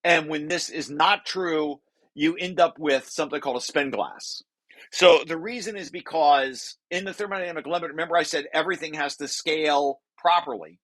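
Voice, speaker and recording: A man's voice: fairly high pitch, normal speed, fairly bright tone. A man presenting a research paper draft informally to colleagues, repeating and rephrasing key phrases as he explains.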